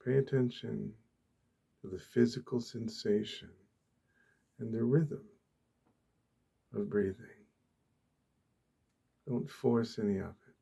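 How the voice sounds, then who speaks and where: A soft-spoken man with a low, mellow voice guides a meditation in ASMR style. His delivery is very slow, gentle and deliberate, with long pauses. The voice is hushed and breathy.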